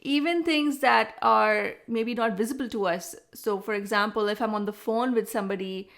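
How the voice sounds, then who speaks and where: A woman's voice: fairly high pitch, moderate pace, gravelly, a bit bright. A woman hosting a wellness podcast, introducing the show and interviewing a guest in conversation. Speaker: podcast host, Ayurvedic practitioner.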